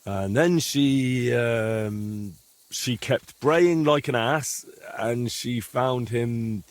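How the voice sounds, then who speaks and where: An actor playing an earnest young adventurer in a video game, polite but easily exasperated. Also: male voice, mid-range voice, very slow, no echo. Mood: halting recital. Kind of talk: video game dialogue acting.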